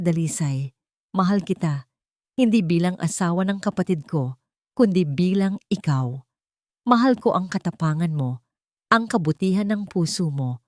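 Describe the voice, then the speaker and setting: A woman's voice: fairly low pitch, a little mellow, no echo, clean studio sound, natural voice. A woman voicing a serious, devoted young man speaking sincerely in an audio drama story. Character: earnest, devoted young man confessing his love.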